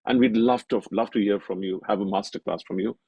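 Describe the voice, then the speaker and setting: A man with an Indian accent hosting an interview in a conversational, somewhat halting and roundabout way. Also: fairly low pitch, fairly even intonation, breathy edge, no echo, lo-fi sound. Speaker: interviewer, event host.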